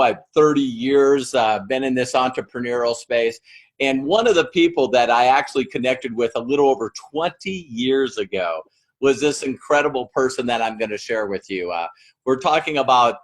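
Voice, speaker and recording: A middle-aged man hosting an interview, talking in an excited, rambling way with many fillers. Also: mid-range voice, poor audio. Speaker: host.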